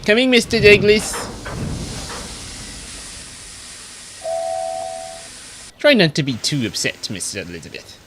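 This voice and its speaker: A man doing a high, polite little brake van. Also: huge pitch swings, fairly quick, background noise. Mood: eager. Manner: polite and deferential. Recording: music clearly behind.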